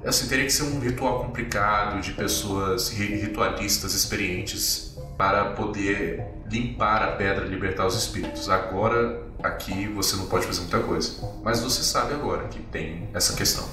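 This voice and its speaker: A man's voice: lowish voice, moderate pace. A man running a tabletop game as game master, explaining the rules and describing the scene. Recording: echoey and distant with music.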